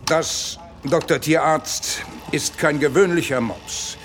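An actor playing a grave, gruff military general with a portentous manner in a comedic sci-fi audio drama. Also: male voice, a little high, slow, noisy background. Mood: dry, ominous.

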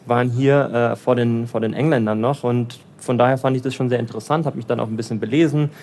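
A German man, probably in his thirties, answering interview questions in a relaxed, hesitant way with many fillers and restarts. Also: mid-range voice, quick pace, slight background noise. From Germany, raised in Bavaria. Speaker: interviewee, development finance professional in Lagos.

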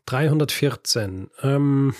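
A man's voice: mid-range voice, slow, no room sound, clean studio sound. A man with a Viennese German accent co-hosting a podcast, telling history stories in casual chat, hesitant with many fillers and small laughs. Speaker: podcast co-host.

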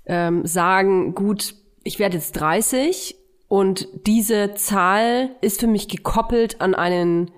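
A woman's voice: mid-range voice, slow pace, clear, resonant tone. A woman in her early thirties co-hosting a podcast, chatting casually and poking fun at herself. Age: early 30s. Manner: self-mocking, animated, laughs at herself. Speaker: podcast co-host.

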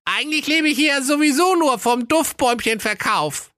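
A man's voice: very high voice, lively intonation, moderate pace, pushed and bright, no echo, clean studio sound, voice pushed up, full character voice. A man voicing a grumpy, sly evil little male dwarf in a comic fairy tale, grumbling and scheming.

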